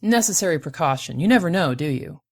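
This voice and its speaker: A woman's voice: low-pitched, lively intonation, fast, darkish tone, no room sound. A woman voicing a wary female space bounty hunter in an audiobook, self-assured and matter-of-fact. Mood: cool, dry. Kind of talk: audiobook character voice.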